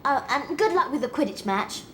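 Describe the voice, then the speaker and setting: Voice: high voice, expressive, a little fast, sharp tone, a little hiss or hum. An actor playing a friendly, casual young schoolboy in a game. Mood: chummy.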